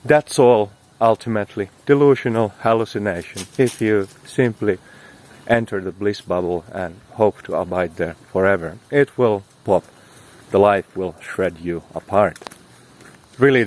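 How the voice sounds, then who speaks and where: A man delivering a motivational spiritual monologue as a teacher, speaking in an emphatic, poetic, exhorting way. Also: on the low side.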